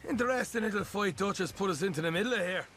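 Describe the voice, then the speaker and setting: An actor playing an excitable young outlaw in a video game western. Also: male voice, high-pitched, very fast. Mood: winding down. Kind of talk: video game cutscene acting. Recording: loud music and vehicle noise behind.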